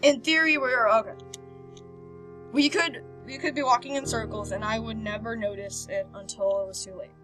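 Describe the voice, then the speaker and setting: A woman hosting a game playthrough, reading visual novel text aloud with animated, casual reactions and stumbling over words now and then. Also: fairly high pitch, normal speed, low-quality recording. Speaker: host of a video game playthrough. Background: game background music.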